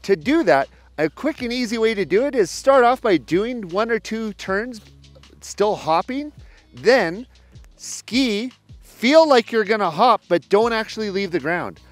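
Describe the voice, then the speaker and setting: A man's voice: high-pitched, expressive, unhurried, no room sound, soft background music. A man giving step-by-step ski instruction outdoors, explaining a demonstration to camera. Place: outdoors on an open snowy mountain ski slope.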